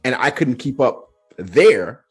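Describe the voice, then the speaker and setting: A Nigerian man hosting a podcast, telling a story in a relaxed, animated way and acting out the voices he quotes. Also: mid-range voice, slow, husky, soft background music. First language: Yoruba. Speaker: podcast host. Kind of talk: casual storytelling chat.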